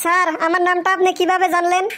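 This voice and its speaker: A woman's voice: extremely high pitch, completely flat delivery, quick pace, pushed and bright. A woman voicing a polite but cheeky, stubbornly earnest schoolboy in a comedy cartoon. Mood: eager, earnest.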